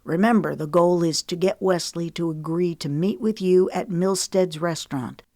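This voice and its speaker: A woman voicing a cautious man giving calm, firm instructions. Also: fairly low pitch, moderate pace, no echo, studio quality, close to an everyday voice. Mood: cautioning.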